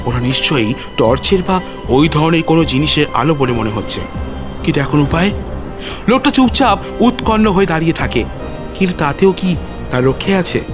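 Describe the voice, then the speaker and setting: A man narrates a suspense story in a dramatic, literary style. His voice sits a little high, and music plays clearly behind it. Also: expressive, normal speed.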